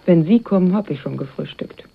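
A woman's voice: on the low side, lively intonation, fairly quick, creaky, husky, heavily acted. An actress playing a self-possessed, mercenary woman in a radio crime drama, speaking with guarded, dry irony. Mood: cool, dry. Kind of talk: 1980s German radio crime drama acting. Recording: dull, band-limited radio play recording.

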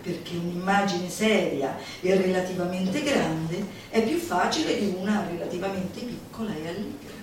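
A woman giving a theatrical recitation, declamatory and playful, with dramatic pauses and asides to her listeners. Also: on the low side. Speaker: solo stage performer. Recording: very echoey live hall, distant mic, music behind.

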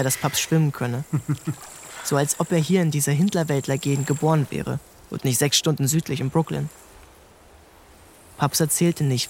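A man narrating a literary audiobook in a calm, measured storytelling voice. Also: a little high, a little hiss or hum.

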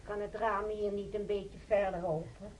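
An actress playing a fussy, demanding hospital patient in a radio drama, politely insistent. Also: female voice, mid-range voice, little pitch movement, normal speed, darkish tone, breathy edge, natural voice. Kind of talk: naturalistic radio drama acting. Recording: noisy old radio recording.

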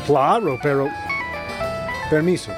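An arrogant, greedy foreign businessman in a radio drama. He talks slowly and deliberately, full of contempt, with music clearly behind him. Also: fairly high pitch. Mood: calculating.

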